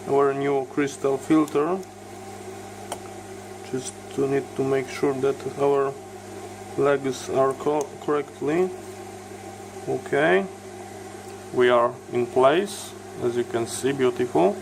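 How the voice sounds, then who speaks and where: A man presents a hands-on repair tutorial at a very slow pace. His phrasing is halting and non-native as he explains each step. His pitch is average, and a clear background hum runs under the voice. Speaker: electronics repair video host.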